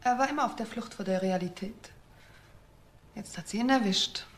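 A woman's voice: mid-range voice, moderate pace, close to an everyday voice. A woman playing a cool, bitter divorced wife in a crime radio drama, composed, detached and curt.

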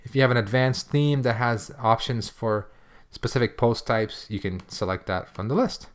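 A man demonstrating software in a casual screencast tutorial, explaining things informally step by step. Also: medium pitch, moderate pace.

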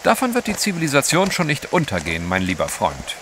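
An actor playing a bold, unflappable gentleman adventurer in an audio drama, brisk and dryly witty. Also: medium pitch, wildly swooping pitch, background noise. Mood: wry. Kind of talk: German radio play acting.

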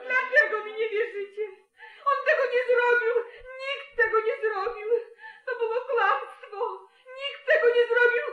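A woman's voice: very high voice, moderate pace. An actress playing a desperate, hysterical young farm girl, crying and wailing in a 1940s radio drama. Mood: hysterical, pleading. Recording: echoey, dull vintage radio recording.

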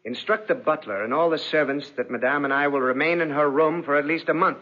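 An actor playing a coldly cruel, genteel husband with mock tenderness, in 1940s radio drama style. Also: male voice, on the high side, brisk, natural voice. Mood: coldly commanding. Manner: suave, mock-tender, pompous. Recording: muffled, dull 1940s radio recording.

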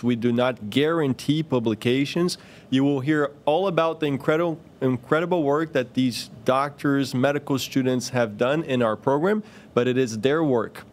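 A man in his thirties hosting a podcast, explaining things in a clear, orderly and emphatic way into a close studio microphone. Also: medium pitch. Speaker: podcast host, cardiologist. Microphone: close desk microphone on boom arm.

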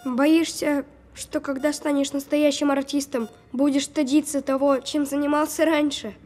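A woman's voice: high voice, little pitch movement, moderate pace, a little mellow, soft background music, lightly acted. A woman playing a sceptical, precocious twelve-year-old sick boy with a dry, know-it-all manner in an audio drama.

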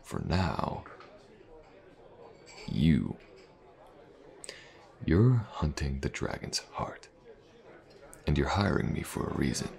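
A man acts out a tough mercenary for hire in a fantasy audio roleplay. His voice is extremely deep and heavily gravelled. He murmurs intimately and unhurriedly, pausing often, with a teasing streak. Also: a little mellow, full character voice. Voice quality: gravelly, breathy low murmur.

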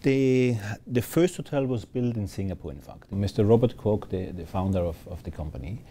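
A man's voice: lowish voice, expressive, normal speed, mellow. A man from Austria in his fifties, a hotel general manager giving upbeat, fluent interview answers in a hotel lounge.

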